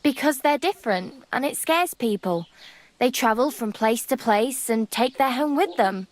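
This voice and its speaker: Woman acting a plucky, high-voiced young beggar woman. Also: animated, normal speed, natural voice. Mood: frank. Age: young adult. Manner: rustic, folksy, teasing. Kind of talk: video game dialogue acting. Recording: background music under the dialogue.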